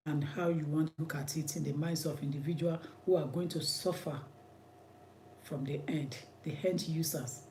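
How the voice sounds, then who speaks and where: A man's voice: fairly high pitch, mellow, a little hiss or hum. A man with a Nigerian accent, an immigration lawyer giving impassioned commentary in an emphatic, repetitive, preacherly way.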